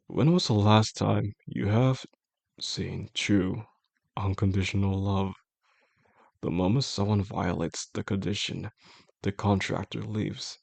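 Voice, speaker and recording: A man acting as a possessive, obsessive male boss in an intimate audio drama. His voice is low and heavily creaky, with a husky rasp. He speaks slowly and deliberately, coaxing with calm menace and formal phrasing. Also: dry recording, heavily acted. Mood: persuasive, fervent. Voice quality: gravelly, husky. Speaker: audio roleplay voice actor. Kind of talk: intimate scripted roleplay monologue.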